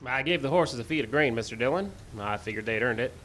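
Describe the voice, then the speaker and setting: Radio western sidekick man acting: dutiful, excitable, medium male pitch, faint background noise. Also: fast, lightly acted. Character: deferential, easily alarmed marshal's helper, a man. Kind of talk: live old time radio western acting. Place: live show in a park. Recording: live outdoor stage, noisy background.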